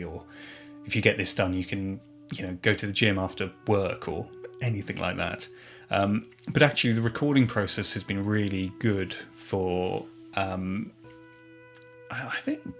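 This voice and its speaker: Male, lowish voice, reflective interview delivery from an audio production worker, faint music underneath. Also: fairly bright tone. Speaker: audio drama production staff.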